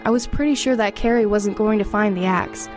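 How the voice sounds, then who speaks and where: A teenage girl, played by a woman, narrates an audio drama in the first person. Music plays clearly behind her. Her voice is medium in pitch, reflective and confiding. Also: a little fast, close to an everyday voice. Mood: reflective, ominous. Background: music under the voice.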